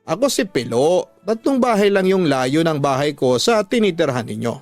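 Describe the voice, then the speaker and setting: A man's voice: on the high side, very animated, normal speed, a little mellow, no echo. Man acting a friendly old villager over music. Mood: friendly, neighborly. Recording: music bed under dry studio voice.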